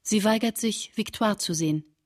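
Schauspielerin als erschütterte Tagebuchschreiberin, knappe Sätze. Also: female voice, medium pitch, normal speed, lightly acted. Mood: intense, shaken. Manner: terse, fragmentary sentences, intense. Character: shaken woman writing diary of friend's return from camp. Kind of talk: literary radio drama, diary narration and dialogue.

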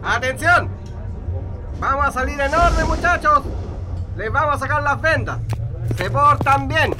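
An actor playing a menacing military guard in a Chilean radio drama, barking orders and taunting prisoners in a Chilean accent. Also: male voice, very high voice, expressive, unhurried, noisy background. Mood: commanding. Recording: music behind the voice.

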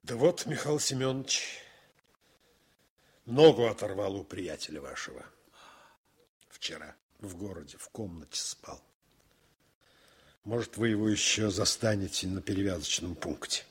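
An actor playing a gruff, worldly card-playing officer with a husky voice in a radio drama. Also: male voice, lowish voice, expressive, measured pace, long pauses. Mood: matter-of-fact. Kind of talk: Soviet radio drama acting.

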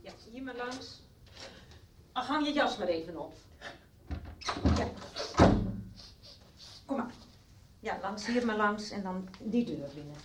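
An actress playing a bossy but kind-hearted middle-aged woman in a radio soap, coaxing briskly in colloquial, insistent speech. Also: mid-range voice, expressive, background noise. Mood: hospitable, bustling. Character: bossy, kind-hearted neighbourly mother taking charge.

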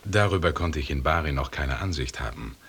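An actor playing an authoritative expedition leader in a German radio drama, speaking in a formal, precise, lecturing manner with a husky voice. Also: male voice, rumbling low, expressive, a little fast, a bit bright, heavily acted. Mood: measured, commanding. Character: authoritative British expedition leader, pedantic and commanding.

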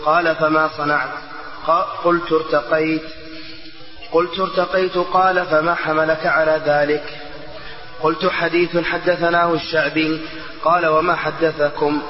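Guy reading religious text out loud, kinda monotone recital, muffled and super echoey. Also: a little high, measured pace, soft background music. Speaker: student reader of the text.

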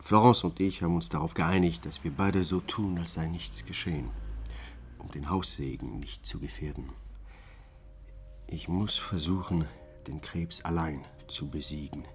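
An actor playing a troubled middle-aged man in a German radio drama, weary and evasive, speaking gravely and with restraint. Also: low-pitched, measured pace, a little hiss or hum. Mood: resigned. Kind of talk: German radio drama acting, confessional monologue.

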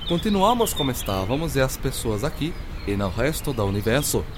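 A learned physicist in a radio play played by a man explains things with formal courtesy. His pitch is mid-range and very animated, set over noisy ambience with birds. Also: brisk, lightly acted. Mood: patient explaining. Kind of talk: radio drama acting.

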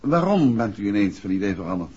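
An actor playing a composed, principled writer and amateur detective in a 1960s Dutch radio play, speaking politely and with assurance. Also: male voice, lowish voice, very animated, quick pace, darkish tone, husky. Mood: polite, businesslike. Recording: dull, muffled old radio recording.

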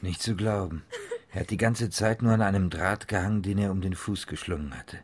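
An actor playing an astonished policeman in a crime radio drama, with a husky voice. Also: low voice, brisk. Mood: amazed.

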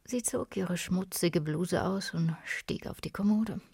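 A woman reading scripted narration for a radio play in a hushed, literary manner. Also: on the low side, unhurried, breathy edge, no room sound.

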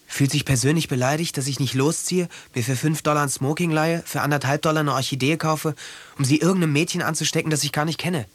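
A man's voice: a little high, steady tone, fast, fairly bright tone. An actor playing a dreamy, introverted young man in a radio drama, musing in a detached, lyrical way.

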